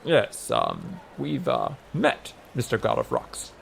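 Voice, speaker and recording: A man's voice: lowish voice, wildly swooping pitch, takes it slowly, vocal fry, a little hiss or hum, heavily acted. A man voicing a restrained, long-suffering male government aide in an audiobook, speaking in a dry, formal, hesitant way. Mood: flustered.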